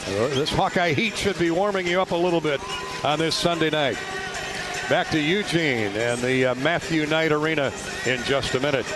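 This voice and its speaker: A man with a somewhat high voice calls basketball live on radio. His phrases are clipped and excited, and there is clear sound behind him from the arena broadcast. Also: normal speed, over music. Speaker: basketball play-by-play announcer.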